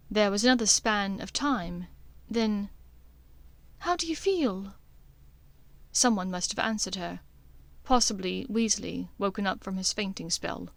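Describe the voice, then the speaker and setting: A woman's voice: mid-range voice, normal speed, creaky, dry recording. A woman reading scripted fiction as an audiobook narrator, in a dramatic storytelling style.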